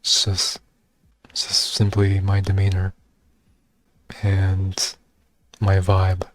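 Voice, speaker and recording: A young man talking in a soft, reserved and hesitant way, with many fillers, in a personal video monologue. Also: sits low, monotone, slow pace, no echo. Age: young adult. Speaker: vlogger.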